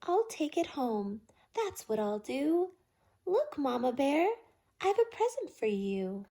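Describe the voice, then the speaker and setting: A woman's voice: high voice, big pitch swings, takes it slowly, dark tone, exaggerated voice. A woman voicing a kindly, eager papa bear in a children's storybook, cheerful and folksy. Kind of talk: children's storybook read-aloud.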